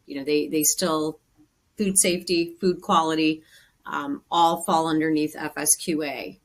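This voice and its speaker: A woman with a notably low voice answers a question on a webinar panel. She talks in a loose, conversational way, with plenty of fillers as she works through her points. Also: fairly bright tone.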